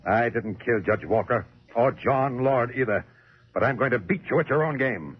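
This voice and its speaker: A brash, defiant newspaperman in a vintage radio mystery. He is sardonic and swaggering, his pitch moving freely, heard through dull old recording sound. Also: expressive, normal speed, husky. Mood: taunting. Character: cocky, brash male newspaperman, defiant and taunting. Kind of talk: 1940s radio mystery acting.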